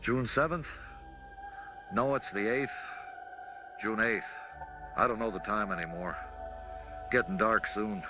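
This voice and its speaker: A man playing a confused, deteriorating farmer in a radio drama, halting and correcting himself as he goes. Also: lowish voice, music in the background. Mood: dazed confusion. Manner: halting, disoriented, self-correcting. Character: confused, deteriorating farmer keeping a faltering diary.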